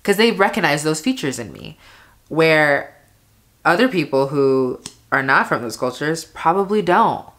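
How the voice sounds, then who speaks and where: A young woman with a low, deep-set voice chats casually while she does her makeup at home. She rambles along, leaning on "like" between her thoughts. She sits close to the camera in a small bedroom. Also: lively intonation, measured pace, fairly bright tone. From family from Panama, raised in America. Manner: rambling, lots of "like" fillers. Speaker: beauty vlogger. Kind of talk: casual get-ready-with-me chat while doing makeup.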